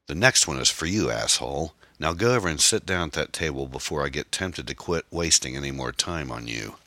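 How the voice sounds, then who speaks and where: A man's voice: very deep voice, lively intonation, fairly quick, bright, forceful tone, no room sound, exaggerated voice. A man voicing a menacing, jealous gunman, a foul-mouthed ex-boyfriend who taunts and threatens in a folksy way. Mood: angry, menacing.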